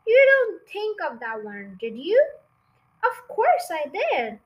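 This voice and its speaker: Lady reading the part of a bossy storybook farmer guy, taunting and scheming, high voice, slow talking. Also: wildly swooping pitch, lo-fi sound, exaggerated voice. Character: gloating, scheming male farmer hunting a fox.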